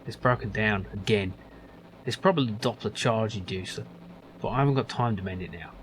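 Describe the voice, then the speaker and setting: A man voicing a gruff, grumpy older male factory worker, grumbling and dismissive. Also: fairly low pitch, a little fast, slight background noise, close to an everyday voice, poor audio. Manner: grumbling, dismissive, calls others son.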